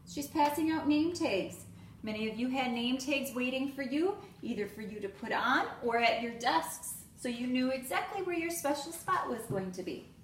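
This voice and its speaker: A woman reading a children's storybook aloud in an expressive way, addressing young students. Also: high voice, normal speed. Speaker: school staff member reading aloud to students. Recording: roomy echo, clear background noise.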